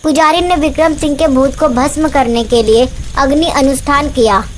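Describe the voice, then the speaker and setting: A woman's voice: sits high, steady tone, normal speed, slight background noise. A woman reading scripted narration for a horror story, as the narrator.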